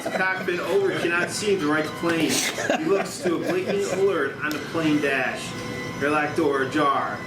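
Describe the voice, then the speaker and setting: A man's voice: on the high side, normal speed, over loud music. A man reading scripted stage directions as narrator at a table read, recorded at a distance in an echoey, noisy room. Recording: echoey room, distant mic, loud noise.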